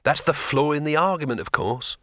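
A hapless male wizard, gloomy and self-pitying, who grumbles through every complaint in a raised, strained pitch, as a man reads him aloud. The voice is bright and sharp, recorded dry and close, in a storybook reading. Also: a little fast, no room sound, natural voice. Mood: grumbling.

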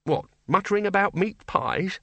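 Man as a nervous, bright-voiced wizard bursar. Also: high voice, animated, no room sound, pitched above the performer's own voice, exaggerated voice. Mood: dry. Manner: hurried, anxious. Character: nervous, hasty male university bursar. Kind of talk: audiobook character voice.

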